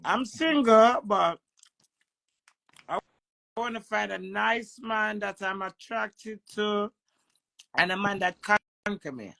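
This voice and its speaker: A woman's voice: mid-range voice, slow pace, vocal fry, long pauses, fairly bright tone, poor audio. A woman with a Jamaican accent touched with British, chatting playfully and teasing on a live stream from home. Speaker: livestream host. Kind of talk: flirty casual livestream chat.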